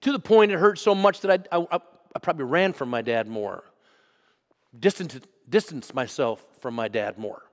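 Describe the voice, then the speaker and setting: A man preaching a sermon as pastor, telling a personal story and moving from loud to hushed. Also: on the high side, animated, normal speed, in a large hall. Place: church sanctuary.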